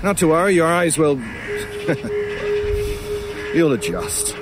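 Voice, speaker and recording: An actor voicing a knowing, teasing crow in an audio drama, with a dry, sardonic manner. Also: male voice, on the high side, big pitch swings, slow, heavy background noise. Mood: wry, teasing. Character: wry, unhurried male crow, teasing and knowing.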